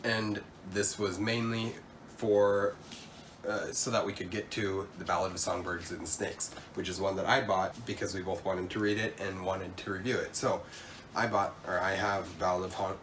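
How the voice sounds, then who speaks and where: Deep-voiced man with a cold. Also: moderate pace, recorded from a distance, slight background noise. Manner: relaxed, stumbles over words. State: has a cold. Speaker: co-host. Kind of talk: casual book haul chat.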